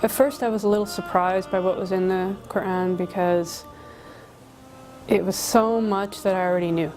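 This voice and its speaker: An American woman recounts her experience conversationally, with very little rise and fall in her voice. Music runs clearly underneath. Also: mid-range voice, fairly even intonation, normal speed, darkish tone, rough recording. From Colorado, United States. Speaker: interviewee telling a conversion story. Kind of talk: casual personal storytelling. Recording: music playing behind the voice.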